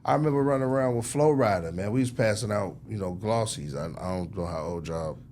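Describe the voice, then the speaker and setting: A man's voice: on the low side, fast, darkish tone, a little hiss or hum. A man speaking as a music executive in a casual interview, in slangy talk peppered with "you know" and "man".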